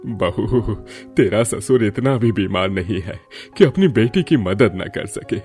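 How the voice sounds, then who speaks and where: A man voicing a slow, low-voiced older village man. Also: lively intonation, a little mellow, faint music behind. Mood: firm. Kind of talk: Hindi cartoon story dialogue.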